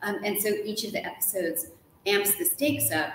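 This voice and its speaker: A woman's voice: medium pitch, animated, normal speed, fairly bright tone. A woman chatting as a guest author in a live interview, playful and effusive with emphatic repetition. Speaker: guest, author of an audio drama. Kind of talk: casual, festive live interview chat. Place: ornate hotel room, indoors. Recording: echoey room, some distance, background noise.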